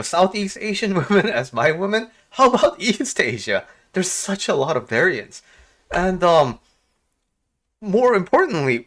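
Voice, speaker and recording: Man with lively, swooping intonation. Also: fairly high pitch. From South Korea. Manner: halting, frequent pauses and rhetorical questions. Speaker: life coach and video host. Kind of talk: casual reflective commentary to viewers.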